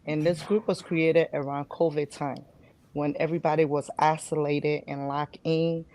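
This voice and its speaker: A woman's voice: low voice, level tone, normal speed, darkish tone. A middle-aged woman, giving conversational answers as an interview guest. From Liberia. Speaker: guest, reality show cast member and nurse.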